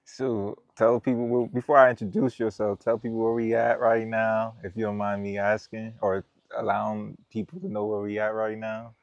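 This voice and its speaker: A man hosting an interview outdoors, relaxed with loose, easygoing phrasing and constant mm-hmms. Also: fairly low pitch, normal speed, gravelly, few pauses, dry recording, muffled recording. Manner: laid-back, loose phrasing, many mm-hmms. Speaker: interview host. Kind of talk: casual interview. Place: outdoors in a vineyard.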